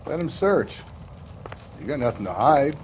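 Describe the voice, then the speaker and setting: An actor playing a blunt, cynical middle-aged police detective, American, with a gruff sardonic manner in a video game. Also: male voice, medium pitch, sing-song, measured pace, background noise. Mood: blunt, needling. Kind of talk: dramatic video game acting.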